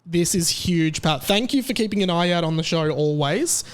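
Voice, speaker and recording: A man hosting a podcast, talking in a chatty, excitable way with lively jumps in his voice. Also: a little high, normal speed, clear, resonant tone. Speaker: podcast host. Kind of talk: casual chat.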